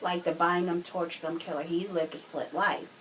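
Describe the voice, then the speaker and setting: A woman with a fairly low voice talks on a casual podcast. She explains her views in an earnest way, with faint noise behind her. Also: steady tone, normal speed. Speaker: podcast co-speaker.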